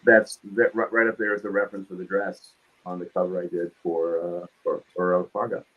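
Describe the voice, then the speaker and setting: A man in his seventies from New York City with a New York accent, talking casually about his craft in his home studio, quick and succinct, hitting consonants hard. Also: sits low, level tone, phone-quality sound. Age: 70s. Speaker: guest artist. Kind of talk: casual studio chat while drawing.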